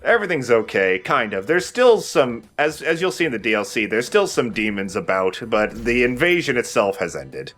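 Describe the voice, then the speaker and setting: A man's voice: medium pitch, big pitch swings, normal speed, few pauses, a bit bright, soft background music. A man hosting a let's play, chatting casually about a game and often rephrasing himself.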